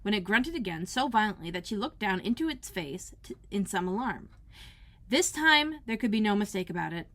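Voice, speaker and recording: A woman reading a children's storybook aloud in a careful, expressive narrating style, like a school librarian. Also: mid-range voice, moderate pace.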